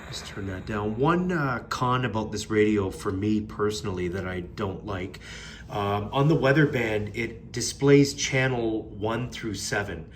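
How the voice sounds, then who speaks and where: A man's voice: lowish voice, measured pace, background noise. A man giving a casual, unscripted product review in a plain-spoken, mildly critical way. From Canada.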